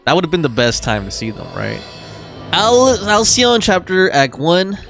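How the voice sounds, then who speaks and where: A woman's voice: fairly low pitch, sing-song, normal speed, vocal fry. A woman gives casual commentary while playing a game. Her intonation swings widely as she reacts and muses aloud in an informal way. Faint game music runs underneath. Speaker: gaming video host.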